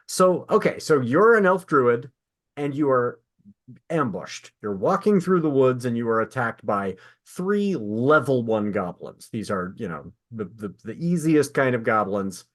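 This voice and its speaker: A man's voice: mid-range voice, very animated, unhurried. A man hosting a podcast, talking in a casual, chatty way with guests. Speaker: podcast host interviewing an author. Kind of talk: casual chat.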